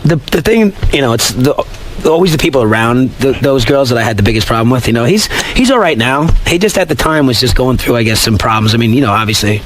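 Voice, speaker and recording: Male talk show guest, quick but halting and evasive, casual, slight noise behind. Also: mid-range voice, expressive, poor audio. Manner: hesitant, evasive, halting phrasing. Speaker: interview guest. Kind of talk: casual chat.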